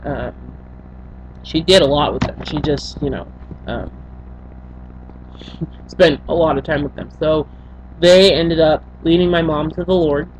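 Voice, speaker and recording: A young woman from Senegal tells her life story to a webcam in a small bedroom. She talks in a halting way, with many fillers and restarts. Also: low voice. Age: young adult. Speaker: vlogger. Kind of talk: personal storytelling to camera. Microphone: built-in webcam mic. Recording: dull lo-fi webcam audio with faint hum.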